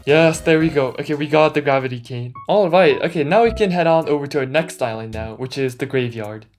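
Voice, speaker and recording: A man's voice: fairly high pitch, animated, fairly quick. A man speaking through a voice changer, giving casual, slangy gameplay commentary with a mildly complaining manner. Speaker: gaming YouTuber. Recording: music mixed under the voice. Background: background music.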